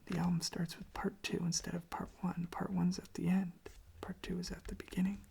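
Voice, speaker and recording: An ASMR host speaking in a soft, breathy, husky whisper, rambling casually and gently in an informal, hushed way. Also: mid-range voice, flat delivery, fairly quick.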